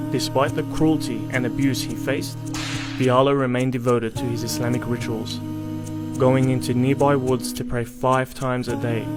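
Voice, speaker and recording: A man narrates a scripted documentary in a mid-pitched voice. His delivery is measured and articulate, like a storyteller's, with music clearly playing behind him.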